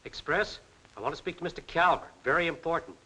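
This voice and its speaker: A man's voice: fairly high pitch, fast, bright, forceful tone. A man playing a sly, self-important doctor eager to please, in a 1940s crime film, with a knowing manner. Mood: eager, conspiratorial. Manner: knowing, insinuating. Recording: noisy old 1940s film soundtrack.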